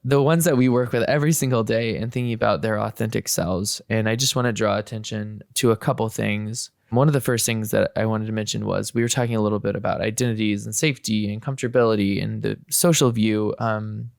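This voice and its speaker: A man's voice: fairly low pitch, steady tone, brisk, gravelly, few pauses, a little mellow, dry recording, studio quality. A man hosting a podcast, chatting casually and hedging his points with fillers and restarts. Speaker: podcast host. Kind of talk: casual interview chat.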